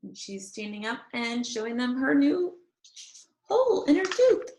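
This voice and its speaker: Slow-paced woman reading a children's story, echoey. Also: on the high side. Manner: animated, deliberate storytelling with drawn-out phrases. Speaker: teacher reading aloud to children. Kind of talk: expressive children's chapter book read-aloud. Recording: roomy, echoey sound.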